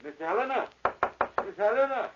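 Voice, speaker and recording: High-voiced, fussy male superintendent. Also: expressive, moderate pace, heavy background noise. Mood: urging, concerned. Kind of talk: 1940s radio drama acting. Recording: muffled old radio recording.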